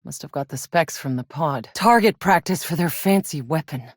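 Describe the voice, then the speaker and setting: A battle-hardened woman soldier of fortune from a video game, speaking in a slightly low voice. She is dry, blunt and sardonic, with a foul-mouthed edge. The sound is clean studio-dry, with no room on it. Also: lively intonation, normal speed, no echo. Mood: grim, scornful. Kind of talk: video game character voice lines.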